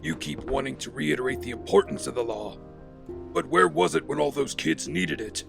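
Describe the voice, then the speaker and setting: A man's voice: medium pitch, moderate pace, vocal fry. A man playing a brooding, driven vigilante hero in an audio drama, grave, intense and earnest. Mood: stern, pleading. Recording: dramatic music underneath. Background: music.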